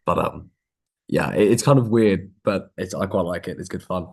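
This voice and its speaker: Low-voiced young British man. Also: normal speed, vocal fry, husky. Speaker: podcast guest. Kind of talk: casual interview chat.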